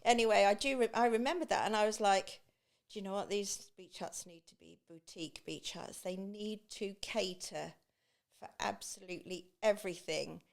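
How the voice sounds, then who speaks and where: Woman, middle-aged, East Anglian accent, medium pitch, conversational storytelling, acts out quoted remarks. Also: normal speed, creaky. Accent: East Anglian English. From Great Yarmouth, England. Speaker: podcast guest, beach hut business owner. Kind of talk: chatty personal storytelling.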